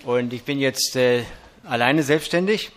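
A man's voice: mid-range voice, fairly bright tone. Older guy w a bit of a berlin accent preaching in church, earnest, lots of ums. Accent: light Berlin. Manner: earnest, measured, with frequent hesitations. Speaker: traveling preacher. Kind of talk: sermon with Bible reading. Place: church hall.

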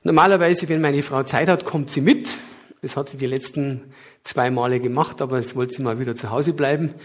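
A man's voice: mid-range voice, steady tone, a little fast. A middle-aged man with a light Bavarian accent giving a public lecture, explaining earnestly with occasional hesitations. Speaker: guest lecturer.

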